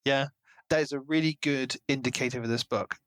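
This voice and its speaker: A man co-hosting a casual book discussion, speculating in an expressive, wordy way. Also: mid-range voice, brisk, no echo. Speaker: podcast co-host discussing a novel. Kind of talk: casual book-club chat.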